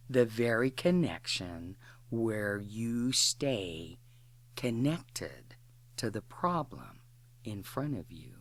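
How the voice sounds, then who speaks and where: A woman leading a guided imagery exercise in an instructional way, speaking in deliberate short phrases with long pauses. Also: very deep voice, a bit bright.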